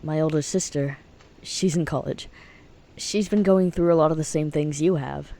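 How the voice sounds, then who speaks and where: A man's voice: a little high, fairly even intonation, normal speed, gravelly, warm tone. A man playing a friendly, earnest teenage boy in an audio drama. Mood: gentle, sincere.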